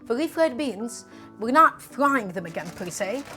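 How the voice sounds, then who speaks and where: A woman's voice: on the high side, sing-song, measured pace, sharp tone, faint music behind. A woman whose first language is Russian, casually demonstrating a recipe in her home kitchen on a live stream and chatting with viewers as she works. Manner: chatty, answers viewer chat while cooking. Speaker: cooking streamer.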